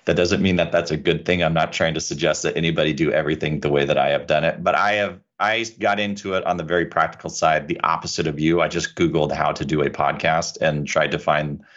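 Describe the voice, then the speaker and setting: A man's voice: extremely low pitch, fairly bright tone, dull audio. A man speaking as a lawyer and podcaster, candid and self-deprecating in a casual conversation. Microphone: USB microphone into a computer.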